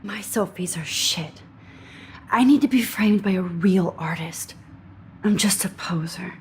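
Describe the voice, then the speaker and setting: A woman's voice: fairly low pitch, measured pace, lightly acted. Woman voicing an anxious american teen girl in a game, earnest, unsure of herself, noisy ambience behind. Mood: self-loathing. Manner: earnest, uncertain. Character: anxious, self-doubting teenage girl photography student.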